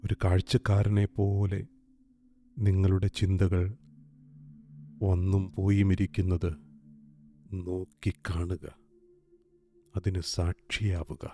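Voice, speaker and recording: A man guiding a meditation in a calm, gentle, soothing way, leaving long pauses. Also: sits low. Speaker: meditation guide. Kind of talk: guided meditation, slow soothing instruction. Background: soft meditation music with singing bowl.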